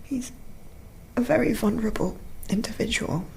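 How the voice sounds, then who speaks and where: A woman acting in a naturalistic radio drama, playing an anxious young wife who is earnest, troubled and sometimes hushed. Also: a little high, normal speed, vocal fry, rough recording. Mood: worried, confiding.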